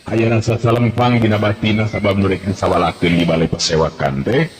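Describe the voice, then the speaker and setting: A man narrating a traditional folk tale in a measured, descriptive way, recorded for old radio. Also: lowish voice, fairly even intonation, slight background noise. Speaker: storyteller.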